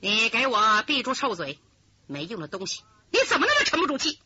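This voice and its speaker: A woman performs a ruthless swordswoman hiding as a servant in a spoken storytelling piece. Her voice is high and bright, and her scolding is cold and cutting. The recording is dull and lo-fi. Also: very animated, slow pace, a little hiss or hum, exaggerated voice. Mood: scornful.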